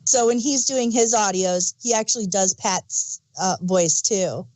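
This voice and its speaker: A woman's voice: mid-range voice, a little slow, darkish tone, no echo, rough recording. A woman chatting casually as a podcast host, explaining background details like an enthusiastic fan. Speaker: audio drama review podcast host. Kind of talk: casual review chat.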